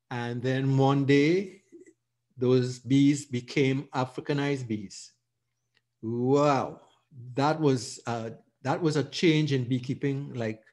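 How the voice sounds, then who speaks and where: A middle-aged man from Trinidad with a Trinidadian accent, hosting and telling his story in an informal, halting, reflective way. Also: medium pitch, a little mellow. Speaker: host, beekeeper. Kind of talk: casual self-introduction over slides.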